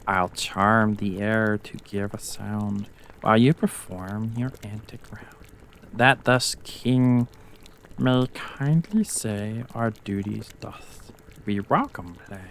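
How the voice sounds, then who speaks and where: Woman playing an eerie witch, dragging and creaky. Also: extremely low pitch, a little hiss or hum, full character voice. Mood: mocking, coaxing. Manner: slow, incantatory, drawn-out delivery. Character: eerie witch, mocking, chanting spells. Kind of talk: dramatic Shakespeare reading.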